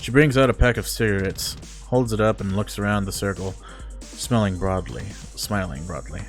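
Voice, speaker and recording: Low-voiced man streaming a visual novel. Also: moderate pace. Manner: jokey, mock-disgusted asides. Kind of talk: reading a visual novel aloud with casual reactions. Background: music.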